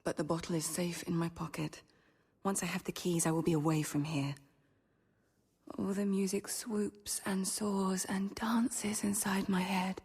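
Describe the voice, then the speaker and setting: Breathy rebellious young novice, reverberant. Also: female voice, on the low side, level tone, unhurried, a little mellow, heavily acted. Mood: secretive excitement. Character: rebellious, scheming sixteen-year-old novice girl. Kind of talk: radio drama acting and inner monologue.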